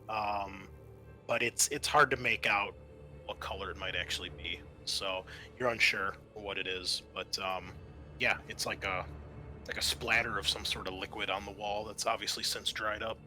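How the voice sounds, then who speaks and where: Bright-voiced man running a tabletop game. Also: mid-range voice. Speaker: game master. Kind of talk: improvised tabletop game narration.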